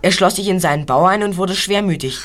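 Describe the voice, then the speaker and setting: An actor playing Bob, a studious boy detective, reporting in a factual, measured storytelling manner in an audio drama. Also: high voice, a bit bright. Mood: informative.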